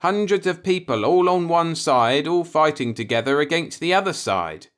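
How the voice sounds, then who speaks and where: A man acts out a righteous, law-abiding fellow from a sci-fi story. He is earnest and insistent, easily alarmed. His pitch moves around in a very animated way. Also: moderate pace, clean tone. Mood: earnest, defensive. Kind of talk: audiobook character voice in a sci-fi story.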